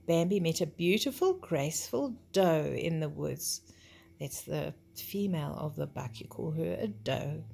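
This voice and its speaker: A woman's voice: on the low side, gravelly, a little mellow. An older woman storyteller reading a children's story expressively, with chatty asides that explain things simply.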